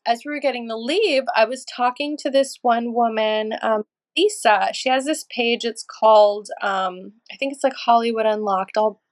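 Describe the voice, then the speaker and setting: A woman from Los Angeles, a podcast guest, telling stories in a lively, casual way with easy swearing and laughter. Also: a little high, moderate pace, few pauses. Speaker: podcast guest, energy healer and channeler. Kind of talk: casual storytelling chat.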